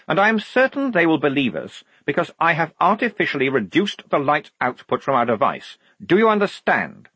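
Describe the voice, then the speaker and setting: A pompous young army lieutenant acted out by a male narrator in a storybook reading. His voice is pitched up and swoops about, slightly husky. He is prim, officious and pedantic, very pleased with his own cleverness. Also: fairly bright tone, no room sound, full character voice.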